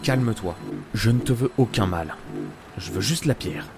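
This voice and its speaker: An actor playing a gruff, weary but principled adventurer in an audio drama, grumbling and muttering to himself. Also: male voice, fairly low pitch, expressive, moderate pace, music in the background. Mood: calm, firm. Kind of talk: audio drama acting with inner monologue.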